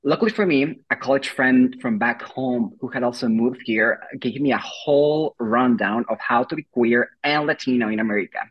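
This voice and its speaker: A young man from Mexico with a Mexican accent, delivering a playful, rehearsed pitch of his show as a guest. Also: normal speed, few pauses, husky. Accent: Mexican Spanish. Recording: dull lo-fi audio.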